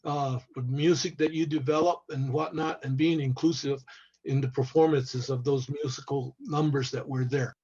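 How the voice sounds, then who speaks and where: A man's voice: mid-range voice, steady tone, moderate pace, a little mellow, slightly rough. An older man speaking as a panel guest over a video call, stopping and repeating words as he searches for them. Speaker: panel guest, former cast member. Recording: muffled lo-fi video call audio.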